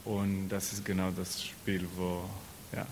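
A man's voice: sits low, monotone, slow, slight background noise. Informal Israeli-accented man telling stories. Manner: informal, slangy storytelling. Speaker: actor and theatre maker being interviewed. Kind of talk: casual interview chat.

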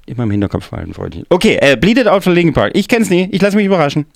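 A man's voice: on the high side, big pitch swings, rapid-fire, vocal fry. A man hosting a music show in casual, rambling, joking chat. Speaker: radio music show host. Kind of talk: casual chatty radio moderation.

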